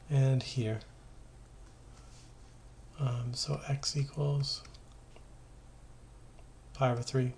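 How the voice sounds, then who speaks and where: A man's voice: mid-range voice, a little mellow, a little hiss or hum. A man giving an informal math lesson as a teacher, talking conversationally and thinking aloud as he works through steps. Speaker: high school math teacher.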